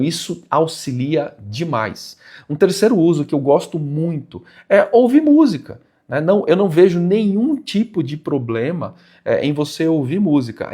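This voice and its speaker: A man's voice: a little high, a little mellow. Brazilian man explaining things emphatically. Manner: conversational, emphatic, didactic with rhetorical questions. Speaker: presenter of a motorcycle channel. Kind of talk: explanatory vlog talk to viewers.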